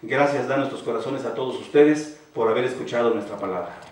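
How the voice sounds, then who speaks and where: Solemn man speaking in an echoey hall. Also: medium pitch. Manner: solemn, slow and rhythmic. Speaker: speaker at a cultural event. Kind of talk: formal ceremonial address. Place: large hall. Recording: echoey hall, distant voice, faint hiss.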